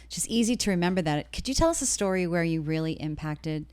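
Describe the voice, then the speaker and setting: A woman's voice: on the low side, lively intonation, fast talker, darkish tone, clear, resonant tone, no room sound. A woman with an American accent interviewing casually as a podcast co-host.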